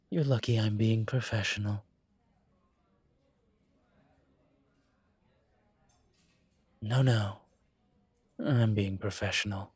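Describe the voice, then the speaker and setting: A man playing a suave incubus demon professor in a roleplay audio drama, teasing and protective, with a wry, measured delivery. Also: lowish voice, a little mellow, slightly rough, dry recording. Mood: wry, mock offended.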